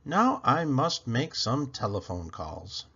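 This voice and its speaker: A man's voice: medium pitch, big pitch swings, slow, sharp tone, breathy edge, highs cut off, heavily acted. A man voicing a cheerful, literal-minded housekeeper woman from a children's storybook, earnest and exclamatory. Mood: earnest, pondering.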